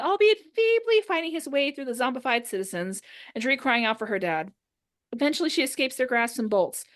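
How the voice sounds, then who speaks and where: Female narrator, higher-pitched voice, reading stage directions for a podcast table read. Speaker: host and narrator. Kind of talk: reading a script's stage directions aloud among friends.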